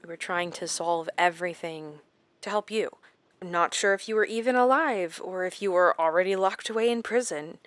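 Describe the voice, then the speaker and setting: An actress playing a shaken woman recounting her captivity in a horror audio drama, halting and dramatic with deliberate pauses. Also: fairly low pitch, clean tone, no echo, close to an everyday voice. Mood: shaken, unsure. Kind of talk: first-person horror audio drama narration.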